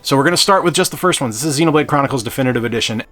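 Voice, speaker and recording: Man, game channel host, rapid delivery, upbeat and enthusiastic. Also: mid-range voice, lively intonation, fairly bright tone, soft background music. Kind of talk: casual game review talk.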